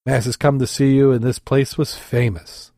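A man's voice: mid-range voice, steady tone, normal speed, mellow, no room sound, clean studio sound, natural voice. A man voicing a chatty male pub barman in a joke he reads aloud on a podcast. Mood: eager.

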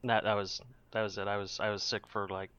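A man's voice: fairly low pitch, little pitch movement, fast talker, a bit bright, clear, resonant tone, dry recording, dull audio. A man co-hosting a podcast in casual chat, giving dry, wisecracking replies. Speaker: podcast co-host.

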